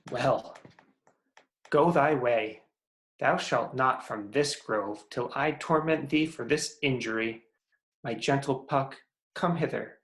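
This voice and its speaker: A man's voice: medium pitch, slow, fairly bright tone. A man playing a jealous, commanding fairy king in a theatrical, declamatory reading of Shakespearean verse. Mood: brooding, commanding. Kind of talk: Shakespearean verse table read. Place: remote video call reading.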